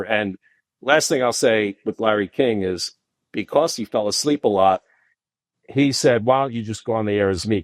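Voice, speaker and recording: A middle-aged man, a podcast guest telling long animated anecdotes full of restarts. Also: no echo. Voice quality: deep voice. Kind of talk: casual storytelling interview.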